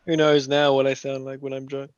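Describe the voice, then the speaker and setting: A man chatting casually in a hesitant, halting way with frequent restarts. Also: medium pitch, monotone, noisy background. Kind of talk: casual chat. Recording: dry, dull lo-fi sound.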